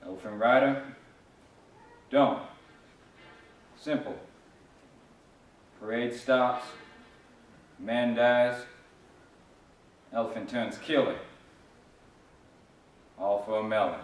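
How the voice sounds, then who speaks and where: A man's voice: mid-range voice, steady tone, drawn-out words, pauses often. A man playing a wry, folksy small-town observer in dramatic stage storytelling. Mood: terse, grim. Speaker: actor in a student readers theater group. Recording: very echoey hall, distant mic.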